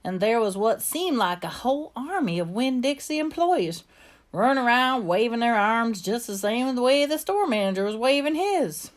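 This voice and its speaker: A woman's voice: a little high, very animated, normal speed. A woman voicing an earnest, plainspoken young girl with a Southern US accent, telling her story from a storybook. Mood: earnest, wondering. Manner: folksy, plainspoken. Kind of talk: first-person storybook narration.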